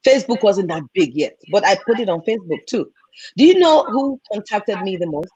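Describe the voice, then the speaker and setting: A woman with a Nigerian accent, a film and TV producer, telling animated stories as a guest on a video call. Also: mid-range voice, brisk, slightly rough, dry recording, poor audio. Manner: animated, repeats words for emphasis. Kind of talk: candid storytelling in a conversation.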